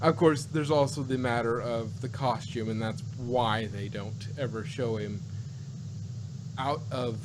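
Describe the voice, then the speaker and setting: A man co-hosting a casual chat, rambling and halting as he restarts and repeats his phrases. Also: medium pitch. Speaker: podcast co-host. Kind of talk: casual chat, reviewing sci-fi. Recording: noisy home recording with hum.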